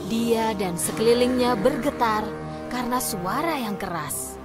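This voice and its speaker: A woman narrating a cartoon fairy tale in an expressive, storytelling manner over background music. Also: sits high.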